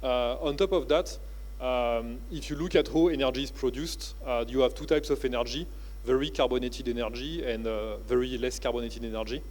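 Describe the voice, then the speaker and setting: A man with a French accent speaks from a convention stage, delivering a keynote. His voice sits at an ordinary middle pitch. He pauses often and fills gaps with "uh" and "you know, like", keeping an unpolished, conversational pace. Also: steady tone, darkish tone. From France. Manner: halting, with many "uh" and "you know, like" fillers. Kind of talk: conference keynote talk.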